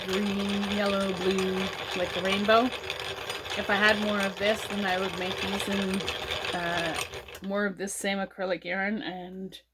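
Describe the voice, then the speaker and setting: Slow, sniffly woman chatting through a craft tutorial. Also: medium pitch, heavy background noise. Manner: slow, chatty, with many fillers and pauses. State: sniffly with a cold. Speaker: crochet channel host.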